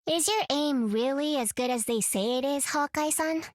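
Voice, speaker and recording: A woman plays a young superheroine in a video game, high in pitch and recorded very dry. Her manner is perky, playful and teasing. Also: normal speed, no echo, close to an everyday voice. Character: cheerful, playful young female spider-powered superhero.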